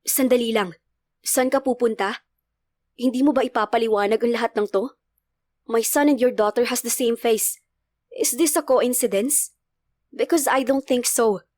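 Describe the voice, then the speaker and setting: A woman's voice: on the high side, moderate pace, long pauses, darkish tone, no room sound, close to an everyday voice. A woman voicing a stern, easily annoyed young father, curt and threatening, in a storybook narration. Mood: demanding. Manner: curt, demanding.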